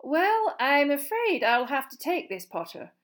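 A woman's voice: high-pitched, big pitch swings, measured pace, voice pushed up. A woman voicing a stern, tart older witch teacher, with a clipped, dry delivery, in an audiobook reading. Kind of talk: audiobook character voice.

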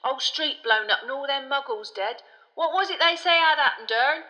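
A woman's voice: high voice, normal speed, bright, forceful tone, big-room sound, pitched above the performer's own voice. A woman voicing a chatty, easily spooked young male bus conductor in an audiobook, with colloquial speech and dramatic tag questions. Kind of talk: audiobook character voice.

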